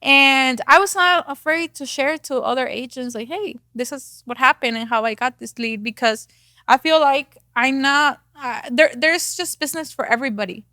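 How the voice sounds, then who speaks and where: A woman's voice: high-pitched, normal speed, bright, forceful tone, no echo, studio quality. A woman from Mexico with a thick Mexican Spanish accent, talking candidly as a real estate agent guest, with fillers and restarts. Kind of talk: casual interview talk.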